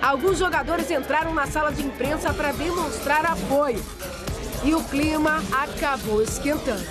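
High-voiced woman narrating a football report. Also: moderate pace. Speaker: sports reporter. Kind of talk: scripted TV sports report narration. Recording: voice-over over loud music and stadium crowd.

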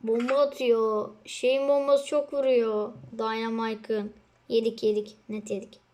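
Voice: medium pitch, flat delivery, measured pace, a little mellow. A child chatting casually as a co-player during a video game. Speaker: gamer, co-player. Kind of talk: casual chat during gameplay.

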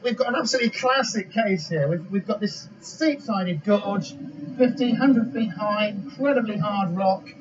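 A middle-aged man explains as an expert, projecting a very high-pitched voice. He speaks outdoors with clear rushing water noise, and the sound is slightly dull. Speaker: geology expert. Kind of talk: unscripted explanation in an interview. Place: outdoors beside a roaring waterfall.

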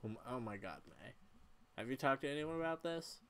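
A man voicing a gentle, awkward young friend in a story video game, with a halting, low-key delivery. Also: lively intonation, lightly acted. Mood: concerned. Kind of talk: amateur voiced playthrough of a story game.

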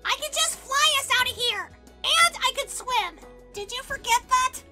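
Sensible, exasperated little fox boy, played by a woman, very high childlike cartoon voice over clear music. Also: lively intonation, normal speed. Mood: reassuring. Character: young male fox sidekick, sensible, slightly exasperated. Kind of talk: comedic cartoon fandub.

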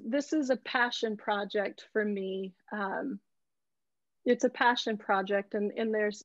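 A middle-aged woman speaking earnestly and deliberately in a presentation over a video call, repeating key words for weight. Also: on the high side, no echo. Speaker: photographer giving a talk. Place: indoor room on a video call. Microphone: earbuds on a video call. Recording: dull, dry video-call audio.

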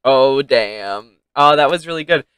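A man's voice: mid-range voice, lively intonation, measured pace, fairly bright tone, clear, resonant tone, no echo. A young man giving casual reaction commentary as a game streamer.

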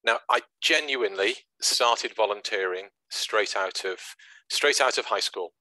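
Low-voiced Welsh man in his sixties. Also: measured pace, pushed and bright, dry recording, poor audio. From Wales. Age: 60s. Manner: hesitant, many restarts and fillers. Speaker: guest speaker. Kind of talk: presentation at an online branch meeting. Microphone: video call.

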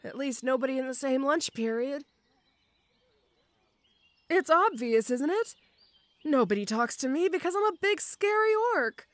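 A woman playing a shy, awkward, muscular tomboy orc schoolgirl in an audio roleplay, halting and stammering timidly. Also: high voice, animated, dry recording. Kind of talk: roleplay audio acting addressed to the listener.

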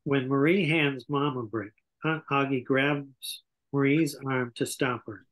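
A man in his sixties narrates a script's stage directions at a table read. His voice sits at an average pitch, recorded over a video call in a small room at home. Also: steady tone, a little slow, fairly bright tone, rough recording. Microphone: computer video call.

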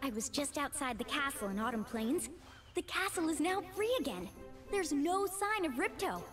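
A woman's voice: sits high, sing-song, moderate pace. An actress voicing a friendly, earnest young faun woman in a video game. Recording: game audio with music behind.

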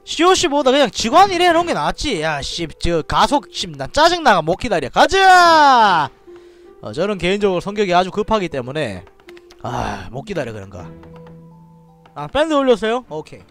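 An excitable man with a Daegu dialect streams a strategy game, his high voice leaping around as he shouts commands. Between bursts he drops to low mutters and asides to viewers. Faint music plays underneath. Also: normal speed. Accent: Gyeongsang (Daegu) dialect. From Daegu, South Korea. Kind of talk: live game commentary.

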